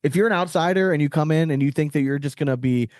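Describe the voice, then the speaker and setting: A man's voice: fairly high pitch, quick pace, a little mellow, no room sound, clean studio sound. A man co-hosting a podcast in casual banter, swearing freely and airing blunt opinions. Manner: profane, opinionated. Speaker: podcast co-host.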